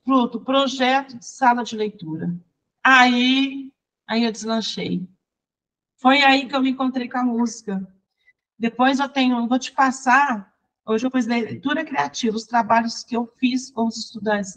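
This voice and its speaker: A woman's voice: fairly high pitch, rough recording. Chatty Brazilian woman telling her story. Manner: lively, chatty, restarts and laughs while recounting. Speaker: interview guest, songwriter and teacher. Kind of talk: personal storytelling in conversation.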